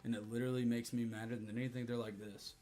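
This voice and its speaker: A man in his thirties with a hoarse voice, chatting casually and profanely with his livestream audience. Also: mid-range voice, little pitch movement, fast, mellow. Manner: rambling, profane, joking with chat.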